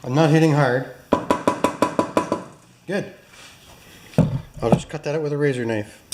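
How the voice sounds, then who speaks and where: A man's voice: a little high, unhurried, heavy background noise. A man hosting a workshop video, chatting casually and joking with viewers while he works in a garage. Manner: chatty, self-mocking, talks to viewers while working.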